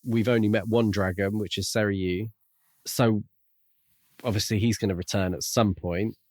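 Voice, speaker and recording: A man's voice: fairly low pitch, steady tone, moderate pace, a little mellow, no echo, clean studio sound. A man co-hosting a podcast, talking casually about books with thoughtful, tentative phrasing. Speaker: podcast co-host. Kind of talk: casual book discussion.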